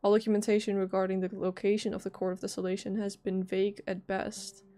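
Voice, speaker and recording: A woman livestreaming a game, giving casual, expressive commentary and reading text aloud, with a lingering cough. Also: mid-range voice, fast.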